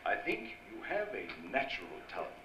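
Man acting effusive film director, forceful, echoey. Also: mid-range voice, wildly swooping pitch, brisk. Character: smooth-talking, encouraging film studio director. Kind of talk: old-time radio drama acting. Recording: dull, echoey live stage recording, faint noise.

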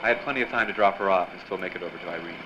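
An actor playing an earnest, dogged newspaper reporter in an old-time radio drama. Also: male voice, deep voice, very fast, close to an everyday voice. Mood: narrating. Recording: dull, noisy live stage recording.

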